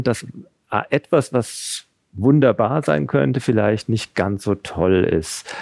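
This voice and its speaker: A man's voice: mid-range voice, vocal fry, darkish tone, no echo. A man interviewing an author, conversational and thinking aloud with fillers. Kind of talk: interview questions and summaries.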